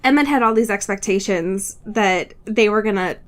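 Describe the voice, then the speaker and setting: An American woman co-hosts a casual book recap podcast. She talks in slangy, giggly bursts with playful exasperation and mock drama, at an ordinary mid-range female pitch. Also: moderate pace, smooth. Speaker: podcast co-host.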